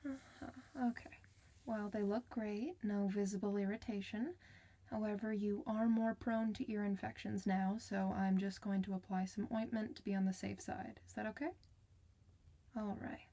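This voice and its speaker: Woman playing a gentle, creaky-voiced ASMR clinician. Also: mid-range voice, fairly even intonation, normal speed, natural voice. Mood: gentle, reassuring. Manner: soft-spoken, soothing, encouraging, partly whispered. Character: gentle, reassuring female clinician for werewolves. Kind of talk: ASMR medical roleplay.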